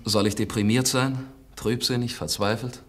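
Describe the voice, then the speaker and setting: Idealistic young poet for radio drama, male actor: confident, earnest, spirited, medium register, breathy-rough tone. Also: mid-range voice, fairly even intonation, moderate pace, lightly acted. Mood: good-humoured, ironic.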